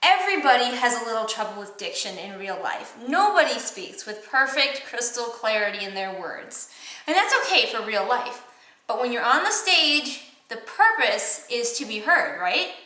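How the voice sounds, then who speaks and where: A young woman with a nasal Wisconsin accent teaching acting, enunciating clearly and animatedly, recorded on a camera mic in an echoey room. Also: a little high, sing-song, normal speed, sharp tone. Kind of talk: enthusiastic how-to vlog. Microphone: camera mic across the room. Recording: roomy echo from far camera.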